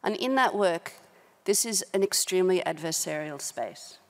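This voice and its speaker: A middle-aged woman speaking as a panelist at a policy forum, measured and halting, with formal vocabulary, into a desk microphone. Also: on the low side, lively intonation. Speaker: panel speaker, company human rights director. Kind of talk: prepared remarks at a policy forum panel, spoken off the cuff. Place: large indoor conference hall. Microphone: desk gooseneck microphone, close.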